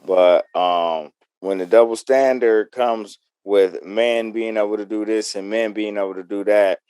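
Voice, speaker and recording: A man's voice: fairly low pitch, steady tone, a little slow, a little mellow, clear, resonant tone, no room sound. A man with an American accent co-hosting a podcast, talking casually and bluntly and posing rhetorical questions. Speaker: podcast co-host. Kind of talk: casual opinionated panel talk.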